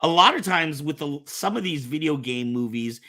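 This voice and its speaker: Bright-voiced man hosting, slightly high-pitched. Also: expressive, normal speed. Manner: stammering, repeats words, opinionated; swears casually. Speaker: podcast host. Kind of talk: casual nerd-culture discussion.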